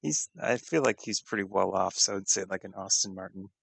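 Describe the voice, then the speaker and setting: A man's voice: low-pitched, a little fast, vocal fry, fairly bright tone, breathy edge, dry recording. A man playing a tabletop game, chatting casually about his character and the scene. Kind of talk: casual chat.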